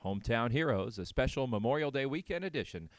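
A man's voice: mid-range voice, animated, quick pace, no echo, clean studio sound. A man hosting a radio show, interviewing guests and presenting links between segments.

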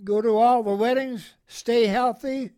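A man in his eighties, a veteran being interviewed, who talks in a cheerful, soft-spoken, gentle way and chuckles. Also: very high voice, fairly even intonation, a little slow, clear, resonant tone. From American Midwest. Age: 80s. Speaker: Korean War veteran guest. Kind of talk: interview answers.

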